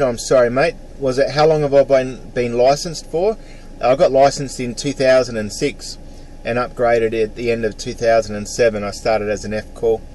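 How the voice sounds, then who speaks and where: A man's voice: medium pitch, a little mellow, low-quality recording. Australian man chatting on ham radio, faint noise. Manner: mate-peppered, spells call signs phonetically. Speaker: amateur radio operator. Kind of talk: casual ham radio chat.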